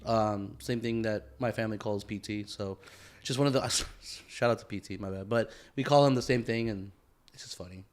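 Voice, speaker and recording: A man's voice: on the low side, steady tone, normal speed. A man hosting a podcast in casual, profane, joking chat, full of slangy bro talk. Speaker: podcast host.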